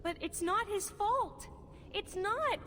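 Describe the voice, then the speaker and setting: A woman playing a frightened young elf girl in a video game, pleading haltingly. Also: very high-pitched, expressive, fairly bright tone, slight background noise, full character voice. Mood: desperate pleading. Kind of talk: video game dramatic acting. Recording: long hall reverb, game music behind.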